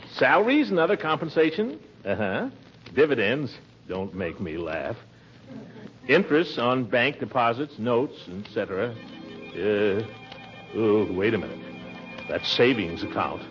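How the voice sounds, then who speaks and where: A man's voice: mid-range voice, sing-song, unhurried, faint music behind. An actor playing a blustering, hesitant middle-aged man who mutters to himself in a 1940s radio comedy. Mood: puzzled muttering. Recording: muffled old radio recording, faint noise.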